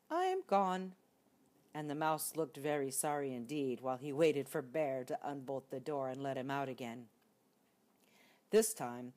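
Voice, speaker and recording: An older woman reading a children's storybook aloud expressively, as a grandmother would, with deliberate emphasis. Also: sits low, a little mellow.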